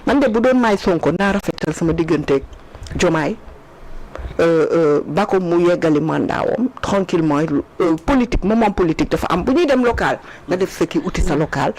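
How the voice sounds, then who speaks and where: A woman with a Senegalese accent giving political commentary in an animated discussion, emphatic and repeating points for stress. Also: lowish voice, fairly quick, low-quality recording. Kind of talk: animated political discussion mixing two languages.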